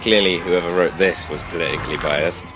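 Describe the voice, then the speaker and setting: A man's voice: sits low, fairly even intonation, a little fast, close to an everyday voice. A man playing a naive, plain-spoken young adventurer with a casual manner in a comedic fantasy radio play. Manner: casual, colloquial.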